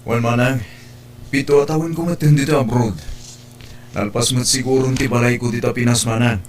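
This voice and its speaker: A man's voice: mid-range voice, fairly even intonation, a little fast, a little hiss or hum, close to an everyday voice. A man with an Ilocano Filipino accent playing a betrayed younger brother back from working abroad in an audio drama. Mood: eager, affectionate. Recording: music under the voice.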